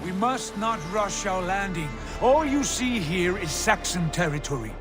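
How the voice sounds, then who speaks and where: An actor playing a wary Viking raider who leads a longship crew, deliberate and commanding, in a video game cutscene. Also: male voice, high-pitched, animated, over music.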